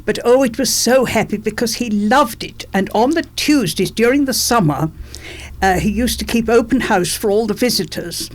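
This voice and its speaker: A woman's voice: on the high side, normal speed. An elderly woman reminisces in an interview, stressing her points by repeating phrases. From England, born in London. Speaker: interviewee, former politician.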